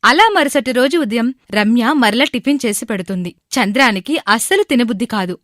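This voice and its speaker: A woman's voice: fairly high pitch, brisk, a bit bright, no room sound, studio quality. A woman narrating a scripted moral story.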